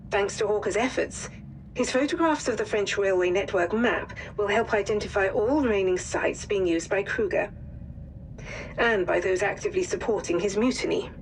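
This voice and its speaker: An actress playing a composed intelligence handler in a war game, delivering a formal, measured, serious mission briefing. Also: female voice, medium pitch, a little hiss or hum, close to an everyday voice, rough recording. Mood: grave, formal.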